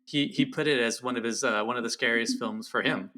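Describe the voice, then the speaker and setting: Male guest critic, mid-range pitch with a bright, forward tone, reflective and casual, searching for the right words. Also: a little fast. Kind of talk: casual film discussion.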